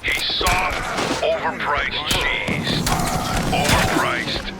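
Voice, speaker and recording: An actor playing a dry, dismissive gangster in a noir cartoon game, laconic and sardonic. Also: male voice, on the high side, steady tone, extremely slow, loud music behind the voice. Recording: heavy background noise.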